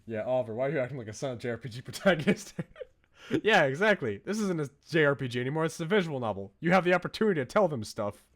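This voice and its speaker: A man livestreaming a visual novel, commenting on it in an excitable, reactive manner. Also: medium pitch, rapid-fire. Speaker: game streamer. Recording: game music behind the voice. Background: visual novel music.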